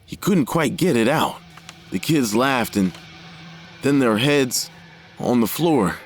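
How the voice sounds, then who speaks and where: A man acting as a worried father in an audio drama. He speaks gravely, with halting phrases. His middle-pitched voice rises and falls expressively. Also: animated, faint music behind. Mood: disturbed.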